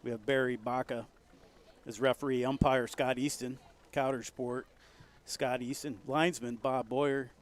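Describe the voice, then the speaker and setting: Folksy small-town football announcer. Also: male voice, medium pitch, measured pace. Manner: folksy, gets loud and excited on big plays. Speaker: play-by-play commentator. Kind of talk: local high school football commentary.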